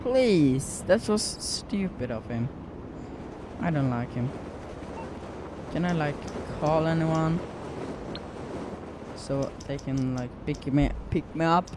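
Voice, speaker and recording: Slow, halting Swedish-accented young man. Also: a little high, noisy background. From Sweden. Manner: rambling, halting, many fillers and restarts. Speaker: gaming YouTuber. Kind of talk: casual gameplay commentary.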